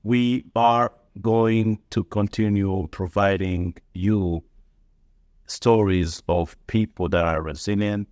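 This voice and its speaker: A man's voice: on the low side, steady tone, slow pace, a little mellow, clear, resonant tone, no echo, studio quality. A man hosting an interview show, asking questions in a friendly, upbeat way and laughing often. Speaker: host.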